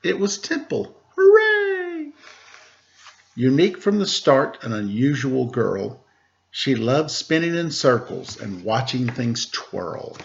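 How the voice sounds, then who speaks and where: A man reading a rhyming picture book aloud, lilting and expressive in the verses, relaxed and chatty in the asides. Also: medium pitch, slow pace, vocal fry, dull audio, a little hiss or hum.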